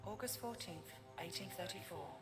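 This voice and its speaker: An actress playing a cool, sceptical young adventurer in a video game, with a curt, self-assured delivery. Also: female voice, lowish voice, normal speed, over loud music, lightly acted. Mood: questioning, cool. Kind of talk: scripted video game cutscene acting. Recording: clear night insect hum behind.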